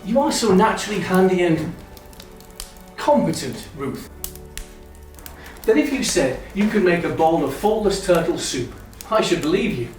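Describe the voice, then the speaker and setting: Guy playing a kind earnest victorian gent, highish voice, super echoey with music behind. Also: sing-song, moderate pace, long pauses, a little mellow, recorded from a distance. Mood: fond, amused. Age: 30s. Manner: formal, wordy, earnest phrasing. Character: kindly, teasing, hopeful brother in his thirties, Victorian gentleman. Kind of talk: stage-style literary radio drama acting.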